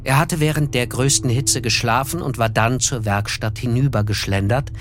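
An actor playing a reflective, melancholy narrator in a radio play, speaking in measured literary narration. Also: male voice, medium pitch, natural voice. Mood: sober. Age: 30s. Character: reflective, melancholy thirty-year-old narrator.

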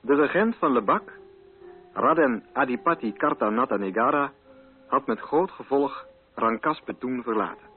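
A man's voice: mid-range voice, lively intonation, normal speed. A man reading scripted literary narration for a radio play. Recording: narrow-band old radio recording.

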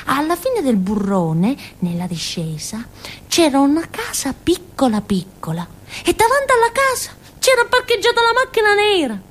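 A woman's voice: high voice, sing-song, moderate pace. An actress playing a naive, simple-minded young village woman in a theatrical monologue, with a southern Italian Calabrian accent and a rambling, childlike way of talking full of little grunts. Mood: excited recounting. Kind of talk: theatrical first-person monologue in colloquial dialect-tinged Italian.

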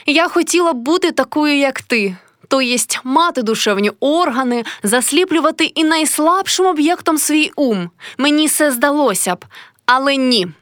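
A woman's voice: high-pitched, animated, normal speed, a bit bright, no room sound, studio quality, pitched above the performer's own voice. A woman voicing a passionate, proud young female artist with an intense, dramatic delivery, as in an audiobook story. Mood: mocking, proud.

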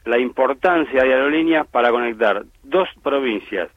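A man's voice: mid-range voice, normal speed, narrow, tinny audio. A man explaining aviation policy on the radio, emphatic and full of "eh" and "este" fillers. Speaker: aviation industry commentator. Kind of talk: radio phone-in analysis.

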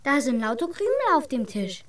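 A woman's voice: sits high, sing-song, normal speed, distant mic. A woman playing a stubborn, earnest little brother in a German radio drama. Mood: stubborn, insistent.

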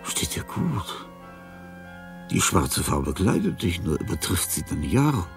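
An actor playing a dying, lonely old artist in a radio play, delirious and fearful, in a husky, breathy voice that speaks in halting fragments. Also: male voice, low voice, very animated, faint music behind, heavily acted. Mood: dazed tender wonder. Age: older. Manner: halting fragments, whispers rising to frantic cries. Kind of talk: German radio play acting.

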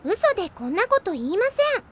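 A woman's voice: very high-pitched, sing-song, brisk. An actress playing a cheerful, bubbly teenage girl in an anime audio drama. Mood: bright, earnest.

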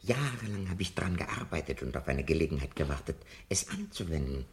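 An actor playing a timid, anxious old literature professor in a 1970s German radio play. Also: male voice, very deep voice, expressive, brisk. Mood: earnest. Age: older.

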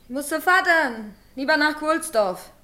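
An actress playing a hard, proud and bitter carter's wife with a Silesian accent, blunt and dramatic, in a radio play. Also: female voice, sits high, expressive, a little slow, sharp tone, full character voice. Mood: sullen. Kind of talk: naturalistic German radio play acting.

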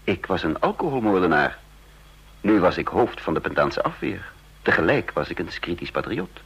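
A man's voice: sits low, sing-song, moderate pace, vocal fry, fairly bright tone, breathy edge, exaggerated voice. Een man die in een hoorspel een onrustige geheim agent speelt, die aarzelend en piekerend zijn eigen raadsel vertelt, op een oude radio-opname. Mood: troubled, brooding. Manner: brooding, hesitant, self-questioning. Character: uneasy male secret agent narrating his own puzzle. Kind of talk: radio drama acting, first-person narration. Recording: dull lo-fi old radio recording.

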